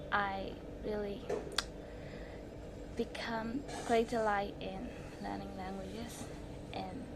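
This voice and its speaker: Teen female vlogger, Burmese accent, slow halting delivery in short phrases, heavy background noise. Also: mid-range voice. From Myanmar. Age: 16, teenager. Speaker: language-learning vlogger. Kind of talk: self-study tips presentation to camera.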